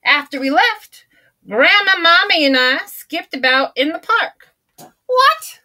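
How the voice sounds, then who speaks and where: A high, bright-voiced old granny, put on by a woman, drawling slowly through a picture book in a homespun, folksy way. She lingers on every word, chatty and theatrical. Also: lively intonation, heavily acted. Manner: chatty and theatrical, gasping and exclaiming. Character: folksy old Southern grandma reading aloud. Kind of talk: storybook read-aloud.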